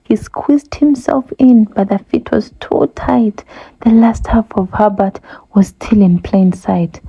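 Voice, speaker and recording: A woman narrating a rhyming children's storybook aloud in a measured, expressive way. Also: mid-range voice, creaky, husky, dull audio.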